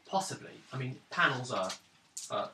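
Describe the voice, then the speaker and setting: A man acting as game master, narrating a tabletop roleplaying game in a halting, thinking-aloud way. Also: medium pitch, expressive, bright, forceful tone. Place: indoors, around a gaming table. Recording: roomy, distant mic, hissy background noise.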